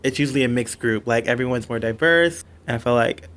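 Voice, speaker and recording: A man's voice: medium pitch, fairly quick, a bit bright. A man in his twenties giving casual answers as an interviewee. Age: 20s.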